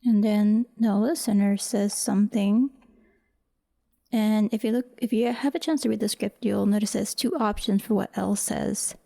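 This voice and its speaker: A woman giving casual behind-the-scenes commentary as she edits, halting and muttering to herself. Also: medium pitch, steady tone, vocal fry, warm tone. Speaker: voice actor, audio creator.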